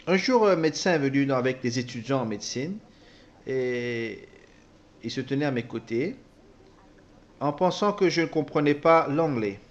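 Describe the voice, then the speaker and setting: Guy translating someone's personal story out loud, stumbles and corrects himself, kinda muffled lo-fi audio. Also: mid-range voice, expressive. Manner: halting, with repeated words and self-corrections. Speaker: interpreter voicing a testimony. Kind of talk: spoken translation of a personal testimony.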